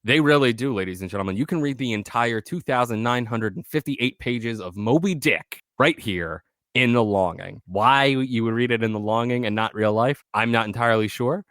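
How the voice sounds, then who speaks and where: A man's voice: medium pitch, moderate pace, dry recording, studio quality. A man hosting a game review podcast, his voice congested, scratchy and nasal from allergies, talking in a showy announcer style. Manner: showy announcer patter, keeps saying "ladies and gentlemen". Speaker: podcast host.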